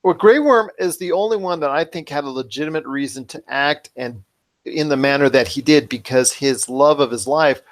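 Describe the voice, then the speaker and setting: A man's voice: fairly high pitch, normal speed. A man hosting a podcast, giving conversational commentary in a wordy, emphatic way, building points by repetition. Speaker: podcast host.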